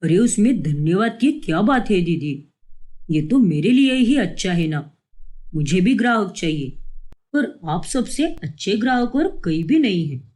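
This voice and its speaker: A woman's voice: medium pitch, expressive, unhurried, darkish tone. A woman voicing a cheerful, humble, chatty male street vegetable seller in a cartoon story. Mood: grateful, friendly.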